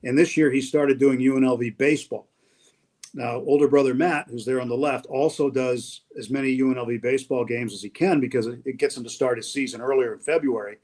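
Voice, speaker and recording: A middle-aged man from New Hampshire, a radio baseball announcer, chatting as an interview guest in a relaxed, rambling way with many fillers. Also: mid-range voice, fairly quick. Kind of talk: casual interview conversation.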